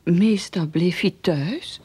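An actress playing a worried, meek elderly mother in a radio drama, halting and breathy. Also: lowish voice, dark tone, exaggerated voice. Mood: hesitant, worried. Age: older. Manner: halting, fretful.

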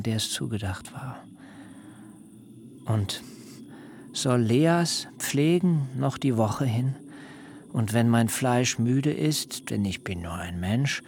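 Ein Schauspieler spielt in einem Hörspiel einen verletzten jungen Bräutigam, der gedämpft und schwer klagt. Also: male voice, mid-range voice, slight background noise. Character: aggrieved young bridegroom, bitter and pleading. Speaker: actor in a radio play. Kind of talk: literary radio drama acting.

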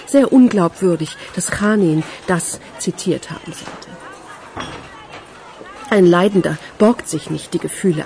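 A woman acting in a German radio crime drama as a sharp-witted, sceptical investigator who sounds dry, probing and sardonic. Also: on the low side, vocal fry, a little hiss or hum.

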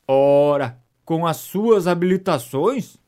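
A man plays a breezy young idler in a period comedy audio drama. His voice is notably high for a man, with a Brazilian accent and a teasing, mock-courteous manner. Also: lively intonation, slow, a little mellow. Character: breezy, teasing young gentleman, horse-loving idle cousin. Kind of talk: audio drama of a 19th-century stage comedy.

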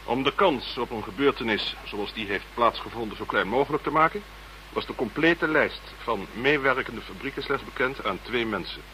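A British government minister in a radio drama, pompous yet courteous. The voice is bright and forceful, grave in tone, with faint hum behind it. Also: male voice, medium pitch, animated, normal speed, low-quality recording. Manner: pompous, formally polite. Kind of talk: radio play acting.

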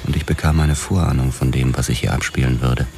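A man's voice: steady tone, fast, over music. An actor playing a weary, brooding police detective narrating his hardboiled story in a noir radio drama. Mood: brooding, matter-of-fact. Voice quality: very deep voice.

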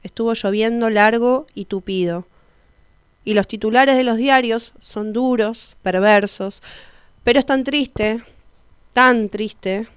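A woman radio presenter reading poetic lyrics aloud in a measured, melancholic way. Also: fairly high pitch, clean tone, dry recording, clean studio sound.

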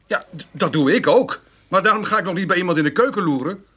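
An actor playing a proud, gruff and stubborn male farmer in a radio drama, blunt and plain-spoken. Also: sits high, lively intonation, quick pace, pushed and bright, heavily acted. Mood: defiant.

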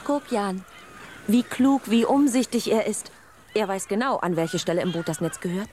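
A woman's voice: mid-range voice, normal speed, a little mellow, a little hiss or hum, natural voice. A woman playing a tender, protective, anxious fisherman's wife in a children's radio play, with a fretful, pleading delivery. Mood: tender, pleased.

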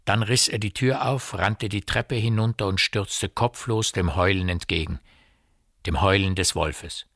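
A man delivers literary narration for a radio play. His voice sits low and is recorded dry, and he sets out each phrase with measured precision. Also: a bit bright, no room sound.

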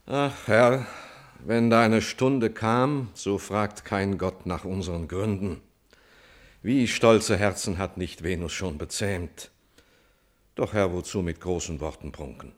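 An actor playing a prince's loyal older mentor, gently probing in measured, declamatory verse in a classical radio tragedy. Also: male voice, fairly low pitch. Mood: urging.

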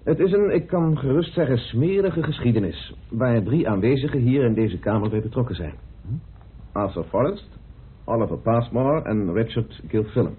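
An actor playing a grave, formal, forceful male committee chairman in a vintage Dutch radio play, on a muffled recording. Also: medium pitch, animated, lightly acted. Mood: grave, indignant. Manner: formal, emphatic, deliberate.

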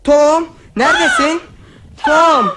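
A woman's voice: high voice, slow, noisy background. A woman voicing a curious, cheeky young boy in a vintage radio play. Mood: eager. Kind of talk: 1960s radio drama acting.

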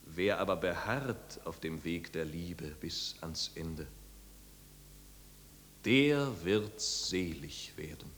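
A fervent preacher delivers a solemn sermon in a 1950s radio play. He declaims slowly and deliberately, his voice carried on a long hall reverb. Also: deep voice, expressive, fairly bright tone, a little hiss or hum, heavily acted. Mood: grave, prophetic. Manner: solemn, declamatory, rising to fervour.

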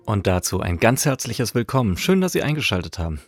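A man's voice: lowish voice, very animated, brisk, music in the background. A man presenting a radio drama with a scripted introduction, close on a studio mic. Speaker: programme presenter.

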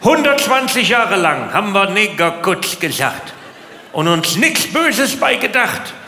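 A man's voice: sits high, sing-song, unhurried, bright, forceful tone. A man doing stand-up comedy with exaggerated indignation, throwing rhetorical questions at the audience. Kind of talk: stand-up comedy routine with rants. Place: large indoor live comedy venue. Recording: echoey hall, noisy live audience.

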